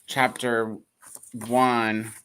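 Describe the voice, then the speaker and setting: Bright-voiced, hesitant co-host. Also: mid-range voice, little pitch movement, extremely slow, slight background noise. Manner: hesitant, restarts sentences, laughs softly. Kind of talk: casual chat.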